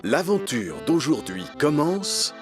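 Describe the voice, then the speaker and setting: A man's voice: on the high side, big pitch swings, slow pace, over loud music. A man narrating a children's cartoon in a scripted storytelling style.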